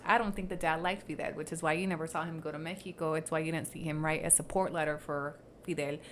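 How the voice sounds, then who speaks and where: A woman's voice: deep voice, steady tone, quick pace, fairly bright tone, a little hiss or hum. A woman with Spanish as her first language, hosting a casual livestream commentary, blunt and sarcastic with frequent swearing. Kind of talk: casual commentary reading posts aloud.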